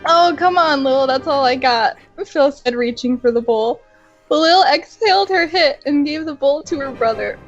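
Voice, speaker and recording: A woman's voice: high voice, normal speed, no room sound. A young woman reading amateur fan fiction aloud, often giggling between lines. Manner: reads aloud with frequent giggles. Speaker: co-reader. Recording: dull lo-fi home recording. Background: faint music.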